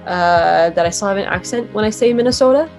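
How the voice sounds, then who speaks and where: A woman's voice: medium pitch, brisk, darkish tone, faint music behind. A young adult woman, a former sports anchor, talking casually as an interview guest in an upbeat, chatty way with lots of "um" and "like".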